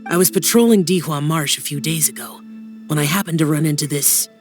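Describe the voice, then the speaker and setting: A man's voice: on the high side, brisk, soft background music, natural voice. A man playing a reserved, socially awkward youthful warrior in an anime game, with a hesitant delivery. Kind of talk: anime game dub acting.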